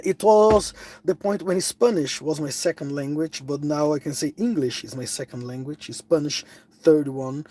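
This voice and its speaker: A man's voice: fairly high pitch, darkish tone. A middle-aged man from Brazil with a Brazilian Portuguese accent, chatting casually on a phone live stream and answering viewers. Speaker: vlogger.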